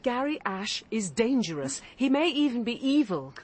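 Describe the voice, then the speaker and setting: A stern English woman barrister and cold mother for radio drama. Her voice is slightly rough and moves expressively up and down. She speaks articulately, with caustic control. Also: a little high, sing-song, normal speed, darkish tone. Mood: grave, warning.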